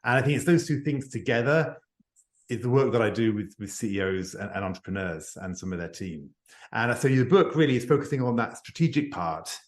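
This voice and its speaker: A man's voice: mid-range voice, expressive, brisk. A middle-aged man speaking as a guest over a video call, earnest and reflective, often restarting his phrases. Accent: non-native to France, English-speaking. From not France; lives near Paris. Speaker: guest, author and executive advisor. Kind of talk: podcast interview answers. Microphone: remote video call. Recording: echoey room on video call.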